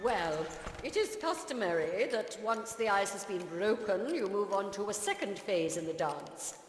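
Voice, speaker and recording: An actress playing a strict, haughty etiquette and dance tutor in an animated-style game, prim, formal and commanding. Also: female voice, mid-range voice, moderate pace, noisy background, lightly acted. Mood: formal, instructive. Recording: echoey hall, faint music behind.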